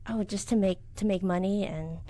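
Soft-spoken businesswoman, halting answers. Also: mid-range voice. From United States. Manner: soft-spoken, hesitant with many fillers. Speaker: business owner, interviewee. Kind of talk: interview answers.

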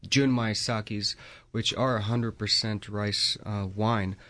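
Low, flat-voiced man sommelier guest. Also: slow pace. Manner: hesitant, many fillers. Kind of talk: radio interview. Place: radio studio.

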